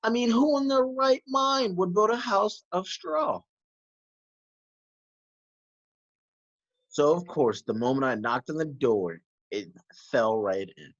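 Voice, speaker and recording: A man voicing a folksy, aggrieved storybook wolf telling his side of the story, with expressive emphasis and dramatic pauses. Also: high-pitched, dull audio, voice pushed up. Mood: folksy, innocent. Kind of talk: animated children's story read-aloud.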